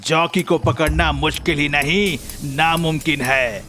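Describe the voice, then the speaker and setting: A man's voice: fairly high pitch, fairly even intonation, unhurried, sharp tone, faint music behind. A man acting a blustering comic figure in a cartoon story, with theatrical, exaggerated delivery. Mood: gleeful, boastful. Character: blustering male police officer and boastful male thief in comic story.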